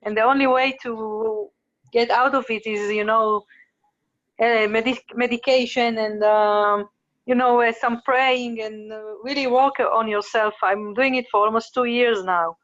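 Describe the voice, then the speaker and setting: A woman's voice: a little high, fairly even intonation, a little slow, a bit bright, highs cut off. Hesitant woman caller from Slovakia. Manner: hesitant, many fillers. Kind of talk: question from a participant.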